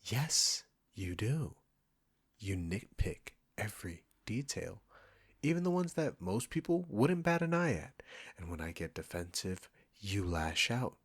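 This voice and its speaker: A man acts out a proud restaurant chef with a low voice. He is combative and sarcastic, talking straight at the listener in an audio roleplay with an irritable, condescending edge. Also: very animated, measured pace, husky, exaggerated voice. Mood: exasperated, scolding.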